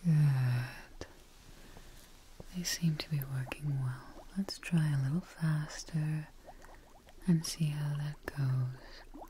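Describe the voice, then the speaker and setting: Hushed, slow young woman whispering ASMR. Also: low voice, fairly even intonation, a little hiss or hum. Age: young adult. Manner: hushed, gentle, slow personal-attention delivery. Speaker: ASMR performer in a creature-testing roleplay. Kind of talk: soft-spoken, whispered ASMR roleplay. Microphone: close-up microphone.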